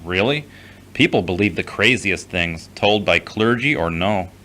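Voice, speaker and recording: A man's voice: low-pitched, expressive, a little slow, pushed and bright, slight background noise, exaggerated voice. An actor playing a guarded, skeptical man in an audio drama, with a terse, dry, blunt delivery.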